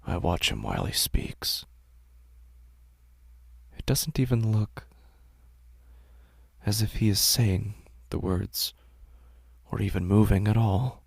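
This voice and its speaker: A man with a very deep, heavily gravelly voice narrates a horror story in a hushed, slow delivery that often sinks into a whisper. His tone is husky, and the close recording is completely dry. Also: lively intonation, no echo. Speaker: narrator and host.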